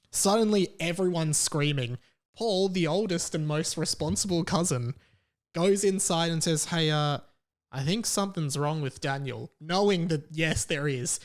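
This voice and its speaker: A man hosting a podcast, telling a personal story casually and comedically, with dramatic emphasis and repetition. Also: on the high side. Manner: casual swearing. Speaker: podcast host.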